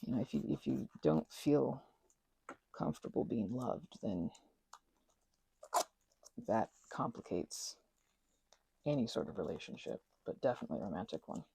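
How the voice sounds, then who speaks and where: A woman hosting an ASMR channel, rambling in a chatty, giggly way with lots of fillers and a breathy voice that slips into whispers. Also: a bass voice, sing-song, moderate pace, pauses often, mellow, rough recording. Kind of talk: soft-spoken rambling chat.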